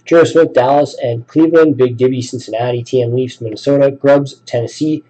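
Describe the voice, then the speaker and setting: Hoarse man rattling off team picks. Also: mid-range voice, steady tone, mellow, rough recording. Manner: rapid listing of names and teams; casual, chatty. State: sick, raspy voice. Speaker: card break host. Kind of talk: live card break commentary.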